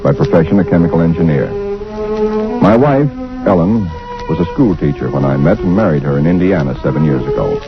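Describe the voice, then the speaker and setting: A man's voice: very deep voice, lively intonation, a little fast. An actor playing an ordinary thirty-something husband who narrates his story earnestly, in 1940s radio acting style, on a dull old recording with music. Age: 30s. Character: ordinary 35-year-old male chemical engineer narrating. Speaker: narrator and lead in radio drama.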